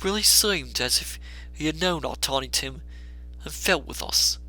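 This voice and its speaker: A humble, affectionate storybook father, as a male reader plays him. He speaks slowly and earnestly, with a bright edge and heartfelt feeling. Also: on the high side, lively intonation, exaggerated voice, low-quality recording. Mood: heartfelt, admiring. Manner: earnest, warm, emotional. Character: kindly grieving Victorian father, humble clerk, affectionate.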